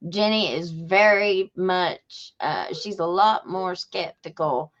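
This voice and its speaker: A woman hosting a podcast speaks with a Southern Appalachian accent at a slow, easy pace. Her delivery is folksy and conversational, and the recording sounds slightly dull and lo-fi. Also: lowish voice, a bit bright. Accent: Southern Appalachian American. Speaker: podcast host. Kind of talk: casual chat.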